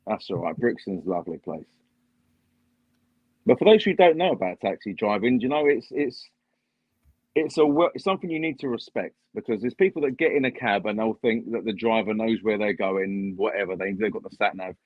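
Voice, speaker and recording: A man's voice: on the low side, expressive, a little fast, no echo. A man appearing as a guest on a live stream, chatting casually and explaining things at length with easy humor. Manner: chatty, explains at length, laughs at his own jokes. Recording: dull, dry home stream audio.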